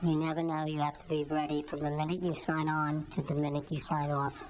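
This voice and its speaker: A woman giving measured answers in an interview as a whistleblower. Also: low voice, flat delivery, background noise.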